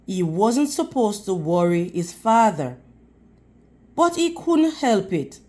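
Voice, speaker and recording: Slow, expressive woman reading to children. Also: medium pitch. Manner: deliberate, teacherly, addressing children. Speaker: children's read-aloud storyteller. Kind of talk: expressive storybook reading with questions to young listeners.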